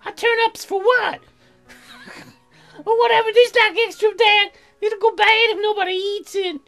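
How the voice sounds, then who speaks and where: Very high-pitched, whiny, dim-witted young woman for a comic game, childish slangy grammar. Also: fairly even intonation, a little slow, a bit bright, faint music behind, exaggerated voice. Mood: whiny complaining. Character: whiny, dim, food-obsessed young female colonist neighbor. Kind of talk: game character voiced in a let's play.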